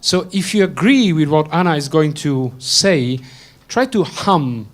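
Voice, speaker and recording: A man moderating a conference panel, briskly calling on speakers and explaining the next step. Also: on the high side, expressive. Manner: brisk, calls on speakers by name.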